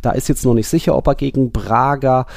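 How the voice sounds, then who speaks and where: Gravelly, mellow-voiced man talking football. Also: mid-range voice, fairly quick, no room sound, clean studio sound. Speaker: football podcast co-host. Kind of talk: casual football analysis chat.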